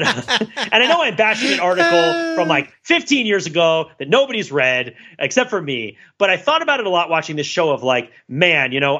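A man's voice: medium pitch, pushed and bright, highs cut off. A man co-hosting a podcast discussion, talking casually with many fillers, restarts and little laughs. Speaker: podcast co-host.

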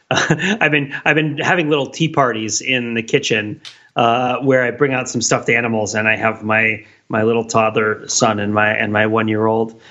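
A middle-aged man with a very bright, forward voice at an ordinary pitch talks through ideas as a podcast co-host. He is discursive and analytical, with a wry streak. The sound is slightly dull and lo-fi. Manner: discursive, analytical, wryly humorous. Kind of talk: casual conversational podcast discussion.

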